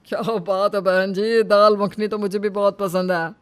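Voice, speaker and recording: A woman's voice: medium pitch, little pitch movement, normal speed, smooth, no echo, close to an everyday voice. Effusive middle-aged family guest for a cartoon , acted by a woman, projected voice with little room sound.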